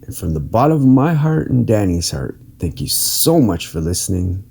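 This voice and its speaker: A man reading an inspirational article as a podcast host, in a measured, earnest manner with deliberate phrasing. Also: on the low side, sing-song, vocal fry, slightly rough. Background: soft plucked guitar music.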